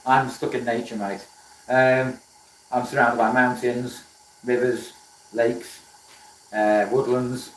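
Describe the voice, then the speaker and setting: A man's voice: mid-range voice, flat delivery, takes it slowly, long pauses, recorded from a distance, a little hiss or hum. A man from South Wales chats easily with his viewers as he works, greeting them by name and often trailing off into fillers. His voice comes from a little way off the mic and has a roomy workshop echo around it. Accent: South Welsh. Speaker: woodturning livestream host. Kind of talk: casual live chat while working. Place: workshop with a running lathe.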